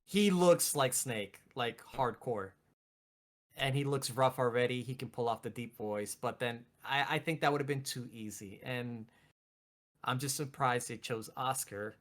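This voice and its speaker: A man co-hosting a casual chat, excitable and given to repeating his phrases for emphasis. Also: mid-range voice, a little slow. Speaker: podcast co-host. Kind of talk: casual chat about video game movies.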